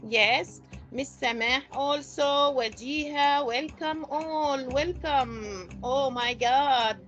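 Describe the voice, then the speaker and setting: A woman from Palestine leads an online teacher-training webinar over a video-call mic. Her voice sits high, and she speaks slowly, patiently repeating welcomes and instructions. Faint music plays in the background. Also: fairly bright tone. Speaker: webinar presenter and teacher association president.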